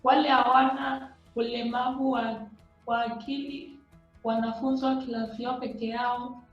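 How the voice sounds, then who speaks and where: High-voiced woman from Kenya, very echoey. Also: little pitch movement, measured pace, soft background music. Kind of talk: short advocacy statements. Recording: very echoey dull-toned room.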